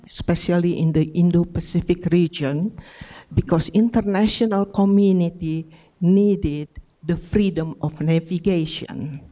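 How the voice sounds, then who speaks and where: A woman with an Indonesian accent asking a formal, polite question from the audience, pausing now and then with "eh" or "em". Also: on the low side, dark tone.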